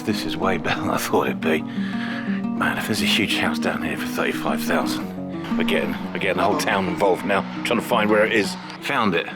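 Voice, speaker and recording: A chatty middle-aged British man talks to his camera while he walks the streets of a small town. His voice sits low, and it gets eager and excited as he goes. Clear music plays under his talk the whole time. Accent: British English. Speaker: vlogger house hunting. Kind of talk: casual travel vlog.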